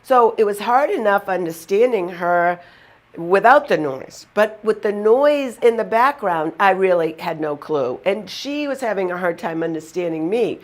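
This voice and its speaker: A woman's voice: medium pitch, lively intonation, normal speed. A woman with a Boston accent telling funny personal stories to the camera in a chatty, amused way. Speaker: vlogger. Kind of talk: casual storytelling to camera.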